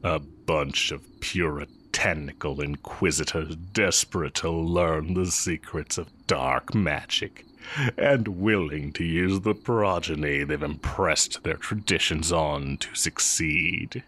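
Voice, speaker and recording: A man playing a smug, amused evil magic teacher in a romantic audio roleplay, with a sardonic, teasing drawl and a very deep, creaky voice. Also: slow, fairly bright tone, exaggerated voice. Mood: sardonic, scornful. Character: evil male magic teacher, heretic, smug, amused, fond of former student.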